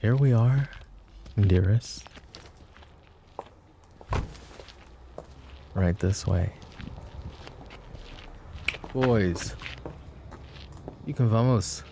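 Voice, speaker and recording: A man playing a gravelly, teasing mafia boss. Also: on the low side, drawn-out words, pauses often, noisy background, exaggerated voice. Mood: commanding. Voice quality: gravelly, teasing, soft-spoken. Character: smug flirtatious male mafia boss. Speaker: voice actor in roleplay audio. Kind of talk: ASMR roleplay acting.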